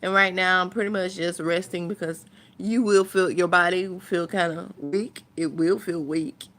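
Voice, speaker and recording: A woman's voice: lowish voice, steady tone, normal speed, fairly bright tone. A woman chatting casually to her viewers as a vlogger, thinking aloud in a rambling way.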